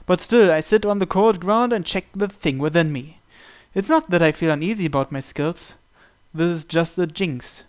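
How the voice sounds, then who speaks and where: Higher-pitched man reading a story aloud. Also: normal speed, clear, resonant tone. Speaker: visual novel reader. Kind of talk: scripted narration, first-person story reading.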